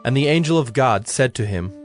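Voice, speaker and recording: A man narrating scripture from a script in a measured, storytelling manner. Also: medium pitch, animated, soft background music.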